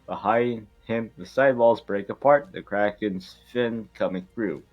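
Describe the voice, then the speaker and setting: A man with a lowish voice narrates a script in a loose, joking style, throwing in irreverent remarks. The sound is a little dull, with faint music playing behind him. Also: a little slow. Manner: irreverent, swearing ad-libs. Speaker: stage-direction reader at an amateur table read.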